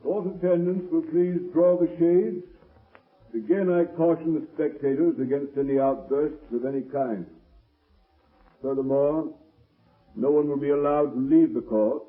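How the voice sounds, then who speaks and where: An actor playing a stern judge who speaks in a measured, formal way, in a 1930s radio courtroom drama. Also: male voice, medium pitch, steady tone, natural voice. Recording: tinny scratchy 1930s radio recording.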